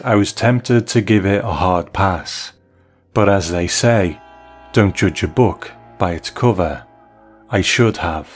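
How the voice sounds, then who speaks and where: A man hosting a podcast, reading listener mail aloud in a deliberate, phrase-by-phrase way. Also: lowish voice. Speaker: podcast host.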